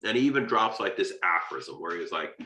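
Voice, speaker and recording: A man's voice: low voice, animated, fairly quick, pushed and bright, reverberant. An American man giving an informal literature lecture, conversational and emphatic, checking his points with short rhetorical questions. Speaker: literature lecturer and video host.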